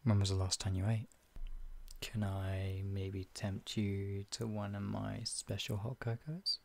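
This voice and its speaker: A young man gently comforting the listener in a whispered roleplay, speaking in a soothing, caring way. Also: lowish voice, flat delivery, a little slow, vocal fry.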